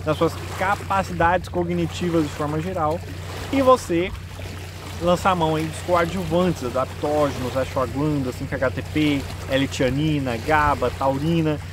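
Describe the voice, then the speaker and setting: A man's voice: on the high side, steady tone, unhurried. A man in his twenties with a Brazilian accent, explaining things informally to camera as a vlogger. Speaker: vlogger giving nutrition advice. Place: outdoors in a sunny park-like area. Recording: noisy outdoor recording, clear background noise.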